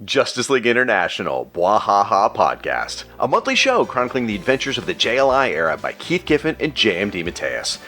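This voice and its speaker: A man's voice: fairly low pitch, huge pitch swings, fairly quick, hardly any pauses, bright, forceful tone. A man narrating a scripted promo for his podcast as its host. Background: music.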